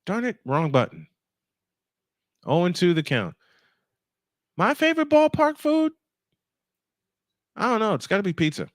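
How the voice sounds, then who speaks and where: A man's voice: high-pitched, sing-song, unhurried, pauses often, dry recording, clean studio sound. A man doing live baseball play-by-play on a stream, mixing in casual chat and rambling as he thinks aloud.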